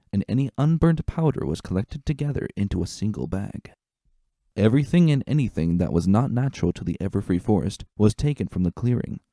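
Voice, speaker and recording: Low, mellow male story narrator. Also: studio quality. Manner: measured, deliberate, suspenseful. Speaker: narrator of a fan fiction reading. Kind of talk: dramatic scripted narration. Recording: roomy voice, faint music bed. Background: faint music.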